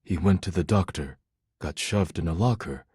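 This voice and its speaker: A deep, husky, solemn poet. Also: male voice, darkish tone, dry recording, clean studio sound. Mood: dry reciting. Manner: formal, measured, solemn, avoids contractions. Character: formal, earnest, proud male poetry-loving dish character. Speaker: voice actor in a dating simulator game. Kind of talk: scripted video game character dialogue.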